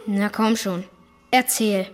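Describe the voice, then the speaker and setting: A woman's voice: medium pitch, fairly even intonation, slow pace, lightly acted. A woman voicing a cheeky, bold young boy in an audio story.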